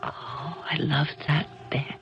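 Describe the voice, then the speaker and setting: A woman's voice: low-pitched, fairly even intonation, slow pace. An actress playing a troubled woman tennis champion in a 1970s radio drama. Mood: dazed, wistful. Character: dazed, despairing tennis champion recalling her life. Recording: dull archival 1970s radio broadcast.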